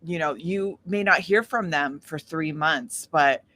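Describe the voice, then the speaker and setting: Bright-voiced woman telling animated stories. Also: low voice, a little slow, slight background noise. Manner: animated storytelling, often acting out quoted dialogue. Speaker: interview guest, independent singer-songwriter. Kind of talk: casual interview talk.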